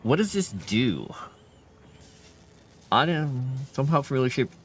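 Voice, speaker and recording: Tired male streamer, lively pitch swings, thinks aloud, faint hum behind. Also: unhurried. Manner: thinking aloud, rambling, chatty with viewers. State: tired, fading late at night. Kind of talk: casual gameplay live stream commentary.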